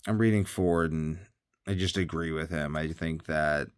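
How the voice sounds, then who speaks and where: A man with a deep, clean voice, recorded close and dry. He sounds tired as he rambles casually like a vlogger. He hesitates, thinks aloud and often lets his sentences trail away. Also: normal speed, clear, resonant tone, no room sound. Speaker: health vlogger.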